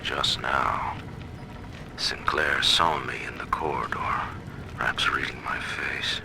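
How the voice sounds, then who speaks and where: A man's voice: rumbling low, steady tone, slow pace, vocal fry, noisy background, full character voice. A man playing a stern, proud middle-aged tycoon who rules his own city in a video game, speaking his audio diaries in pompous, menacing, formal oratory. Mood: bitter, confiding. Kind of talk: dramatic recorded diary monologue, formal oratory.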